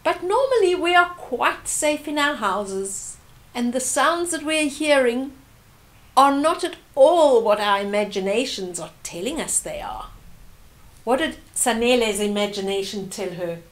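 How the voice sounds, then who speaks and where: An older woman reading a bedtime story aloud to young children, gently and with asides and questions to the listener. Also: fairly high pitch, lively intonation, unhurried.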